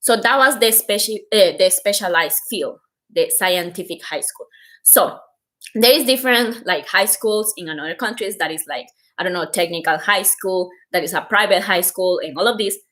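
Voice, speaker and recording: A woman with a Costa Rican Spanish accent talks through advice in an informal livestream style. Her voice sits at a medium pitch. She explains step by step, stressing important points and often dropping in "like" as she goes. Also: normal speed, fairly bright tone. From Costa Rica. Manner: explanatory, repeats and stresses key points, frequent "like". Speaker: host giving application advice.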